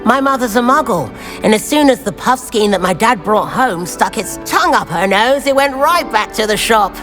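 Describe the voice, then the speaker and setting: Lively British schoolboy. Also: male voice, very high-pitched, normal speed, faint music behind, full character voice. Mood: enthusiastic. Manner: friendly, earnest. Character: friendly, chatty, easy-going teenage schoolboy. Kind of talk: video game character dialogue.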